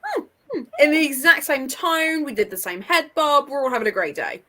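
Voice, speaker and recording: A woman's voice: sits high, very animated, moderate pace, pushed and bright. A woman talking casually as a panellist in a book discussion. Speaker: book club readalong panellist.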